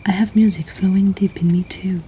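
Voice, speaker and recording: A woman whispers in a breathy, soothing and intimate way, hosting and reading poetry in an ASMR style. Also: mid-range voice, fairly even intonation, fairly quick, dark tone, a little hiss or hum. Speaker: host of a poetry reading series.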